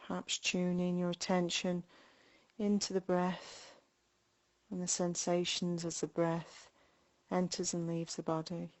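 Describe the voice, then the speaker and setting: Female meditation guide: slightly low register, very slow delivery, gentle, with spacious pauses. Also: completely flat delivery. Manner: gentle, unhurried, with long pauses between short phrases. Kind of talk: guided body scan meditation.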